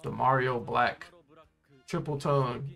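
A young American man giving casual, slangy reaction commentary and animated banter. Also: medium pitch, unhurried, gravelly, soft background music. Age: young adult. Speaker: reaction video host. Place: small indoor room.

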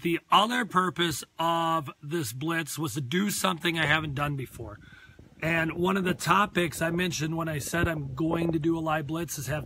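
A hoarse man in his forties with a Minnesotan accent talks into a phone he holds at arm's length, outdoors on a lakeside deck. His voice sits a little high for a man. He rambles earnestly, a real estate agent cheering his viewers on. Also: pushed and bright, slight background noise, low-quality recording. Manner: rambling, earnest pep talk. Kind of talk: live property tour vlog. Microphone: phone held at arm's length.

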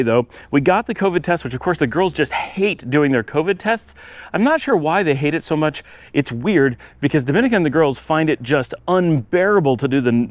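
A man's voice: mid-range voice, no echo. A man narrating a casual walking-tour vlog outdoors, rambling and thinking aloud as he goes. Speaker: travel vlogger. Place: outdoors, walking on residential city streets.

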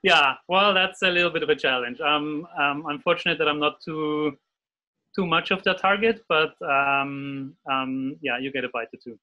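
Austrian-accented man with a bright voice. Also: on the high side, steady tone, moderate pace. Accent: Austrian German. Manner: hesitant, many fillers and restarts. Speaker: guest illustrator. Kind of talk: casual interview. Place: home room at a desk. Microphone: video call. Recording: dull video call audio.

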